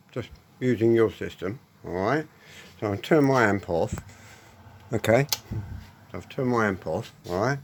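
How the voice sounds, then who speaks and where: A man's voice: on the low side, slight background noise. A man giving a casual spoken demonstration, explaining step by step, repeating his points and checking with short tag questions. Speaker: presenter demonstrating an audio equipment fault.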